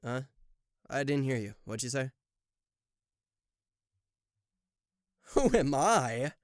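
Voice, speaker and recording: A man's voice: medium pitch, sing-song, normal speed, dry recording, studio quality, close to an everyday voice. A man voicing a theatrical, sarcastic demon king of hell in a roleplay audio drama, proud and showy in manner. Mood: exasperated, mocking. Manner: sarcastic, showy, stammering in disbelief. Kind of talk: roleplay audio drama acting addressed to the listener.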